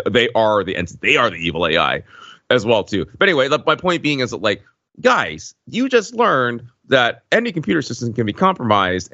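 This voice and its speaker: A man with a fairly low voice talks casually and emphatically about a film. His intonation swings widely as he nitpicks. The recording is dry and slightly dull. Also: fairly bright tone, no room sound. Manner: emphatic, nitpicking, laughs while talking. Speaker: podcast panelist.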